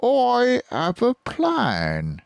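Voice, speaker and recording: A man's voice: very high-pitched, huge pitch swings, drawn-out words, vocal fry, clear, resonant tone, no room sound, pitched above the performer's own voice, heavily acted. A man voicing a crafty, mean, lean farmer in a storybook, curt and bossy as he schemes. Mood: scheming, bossy.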